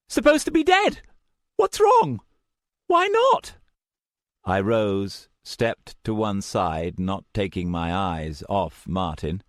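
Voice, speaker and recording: A man's voice: bright, forceful tone, no echo, clean studio sound, exaggerated voice. A man voicing a crazed man who rants in short broken phrases and clutches a cursed charm. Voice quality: shrill, ranting in broken phrases.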